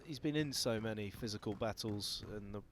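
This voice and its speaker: A man giving casual, joking commentary on a squash match over loud court noise. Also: lowish voice, a little fast. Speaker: sports commentator. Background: loud ball hits and court clatter.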